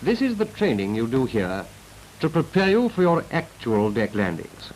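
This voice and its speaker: A man playing a brisk British naval instructor, narrating a 1940s training film in a clipped, didactic, emphatic way. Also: medium pitch, very animated, darkish tone, natural voice. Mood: brisk, instructive. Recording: noisy vintage 1940s film soundtrack.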